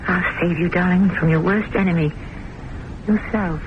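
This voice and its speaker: Muffled old radio recording, actress as intense lovelorn secretary. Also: female voice, on the low side, fairly even intonation, moderate pace, heavy background noise, close to an everyday voice. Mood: urgent, coaxing. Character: weary, lovelorn confidential secretary, a distrustful woman patient. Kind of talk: old-time radio drama acting.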